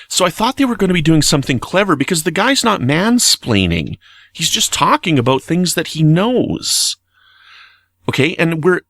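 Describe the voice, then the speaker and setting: A man with a mid-pitched voice talks through his opinions in an informal listener message. He is earnest and emphatic, conversational in delivery. The sound is very dry, with no room echo. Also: a bit bright. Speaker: listener leaving feedback message.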